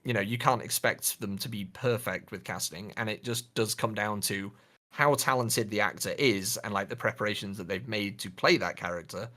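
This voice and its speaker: A man's voice: lowish voice, moderate pace, pushed and bright. A man co-hosting a podcast, talking casually about films and games in a hesitant, hedging way. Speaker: podcast co-host.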